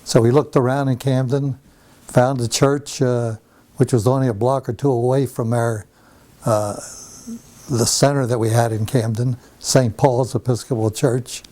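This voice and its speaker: An older man from New Jersey with a mellow, warm voice reminisces about his past. He speaks unhurriedly, stopping often to gather his thoughts with small fillers. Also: medium pitch, fairly even intonation, measured pace. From New Jersey, USA. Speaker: interviewee. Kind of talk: oral history interview. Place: home.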